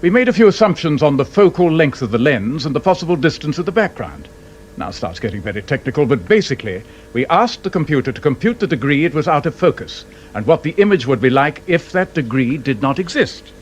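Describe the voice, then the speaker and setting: A dryly sarcastic British investigator in a radio drama. He explains with pedantic, theatrical relish and teases as he goes. His highish voice swings freely in pitch, over some background noise. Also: big pitch swings, fast. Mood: wry, instructive.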